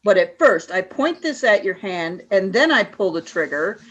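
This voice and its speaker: A woman voicing a cold, commanding female alien leader in a fan radio play, giving flat, clipped orders. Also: medium pitch, moderate pace, lo-fi sound, a little hiss or hum.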